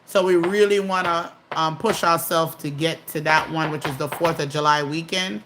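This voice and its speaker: A woman's voice: deep voice, fairly even intonation, normal speed. Chatty woman doing a live stream, reads comments out, some hiss in the room. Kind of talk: casual live stream chat.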